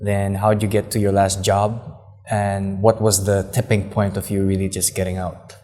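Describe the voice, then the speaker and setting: A man's voice: low-pitched, monotone, moderate pace, dark tone. Upbeat, joking male show host. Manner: upbeat, joking, switches between Cantonese and English. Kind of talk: casual interview hosting.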